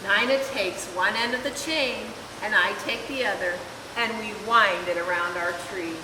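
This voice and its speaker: A woman reading a children's picture book aloud in an expressive, deliberate storytelling manner. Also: medium pitch. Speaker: volunteer story reader. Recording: echoey hall, distant mic, clear hiss.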